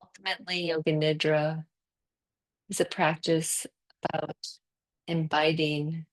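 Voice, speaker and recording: A woman teaching guided yoga nidra meditation, speaking calmly and soothingly in short phrases with long pauses between them. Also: sits low, rough recording.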